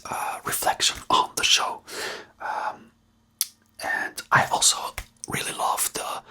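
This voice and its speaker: An ASMR host who speaks in a breathy whisper with a non-native English accent, rambling hesitantly and searching for words while giving commentary. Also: sharp tone. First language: not English.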